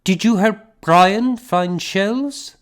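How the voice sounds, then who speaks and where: A merry, easygoing woman in a historical audiobook, put on by a male reader. The voice rides high above his narration and sounds cheerful. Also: very slow. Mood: kindly.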